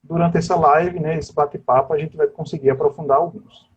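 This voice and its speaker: A man's voice: on the high side, steady tone, fairly quick, highs cut off, slight background noise. A man, a teacher giving an interview, talking at length about education policy in a discursive way and tagging his sentences with little questions. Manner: discursive, with constant tag questions. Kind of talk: political interview over a live stream.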